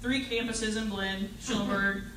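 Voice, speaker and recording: A middle-aged woman introduces herself as a candidate at a public forum, speaking in a halting, personable way. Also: mid-range voice, steady tone, fairly bright tone. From Fayette County, Texas, United States. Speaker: political candidate. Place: public meeting hall, indoors. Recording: distant echoey room, faint noise.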